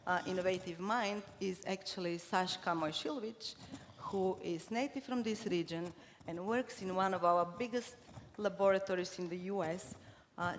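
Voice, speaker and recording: A middle-aged woman from Bulgaria gives a conference talk in English, her second language. She speaks at a middle pitch, pausing often on fillers and restarting her sentences. Her voice carries through a large hall with a long reverb and faint noise underneath. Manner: hesitant, many fillers and restarts. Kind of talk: conference presentation.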